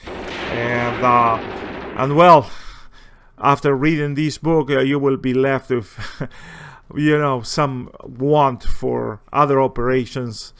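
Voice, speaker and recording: Halting man with a heavy Spanish accent. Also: mid-range voice, steady tone, a bit bright. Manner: hesitant, halting, rambling delivery. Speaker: video host, war-gaming enthusiast. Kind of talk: casual, unscripted game and book commentary.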